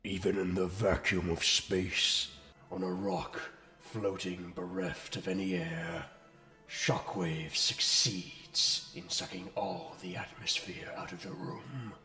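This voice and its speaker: A man's voice: low voice, big pitch swings, slow, vocal fry, pushed and bright, faint music behind, full character voice. A man playing a commanding, brooding robot warlord in a fan comic dub, with grandiose, menacing, theatrical oratory. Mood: brooding, disdainful. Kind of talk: dramatic villain monologue and narration, fan comic dub.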